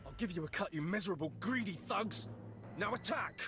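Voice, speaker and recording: An actor playing a corrupt, irritable army sergeant in a video game, gruffly barking orders. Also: male voice, sits high, animated, brisk, noisy background. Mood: exasperated, shouting. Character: corrupt, irritable military sergeant, blustering male villain.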